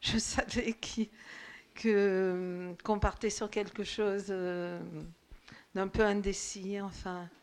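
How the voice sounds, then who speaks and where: A woman's voice: mid-range voice, flat delivery, slow, darkish tone, clean tone. A woman in the audience sharing her reading impressions earnestly, searching for words. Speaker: audience member.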